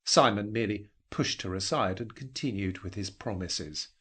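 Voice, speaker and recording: A man reads a scripted story in a fairly low voice with a noticeably husky grain. He phrases deliberately, giving the literary prose a measured, dramatic shape. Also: a bit bright. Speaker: narrator.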